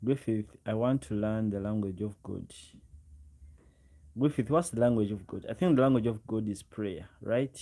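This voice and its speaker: A man in his twenties with a Ugandan accent and a low, creaky voice talks to his livestream viewers. He chats casually, addressing people directly as he answers their comments, and often says a phrase over again. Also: normal speed, dark tone. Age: 20s. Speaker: live streamer.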